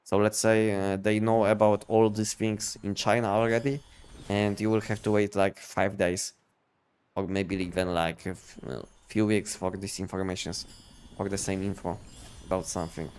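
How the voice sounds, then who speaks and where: A man chatting casually on a game livestream, explaining things in a halting, repetitive way. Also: on the low side, flat delivery, darkish tone, clean tone. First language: not English. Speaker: game live streamer.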